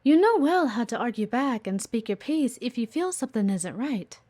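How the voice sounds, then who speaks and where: High-voiced, animated bossy office woman, acted by a woman. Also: moderate pace. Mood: earnest, admiring. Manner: exasperated, assertive, frequent sighs. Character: bossy, blunt female executive assistant, guarded but earnest. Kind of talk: audio roleplay acting addressed to the listener.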